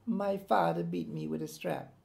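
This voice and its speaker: A man's voice: high-pitched, moderate pace, vocal fry, darkish tone, pitched above the performer's own voice. A man voicing a downcast eleven-year-old Jamaican schoolboy in an audiobook, giving plain, subdued answers.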